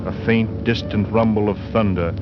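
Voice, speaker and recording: A man playing a grave, suspenseful storyteller, narrating a 1940s radio thriller with theatrical, deliberate emphasis. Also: on the low side, steady tone, close to an everyday voice. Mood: tense suspense. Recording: muffled old radio recording, music behind.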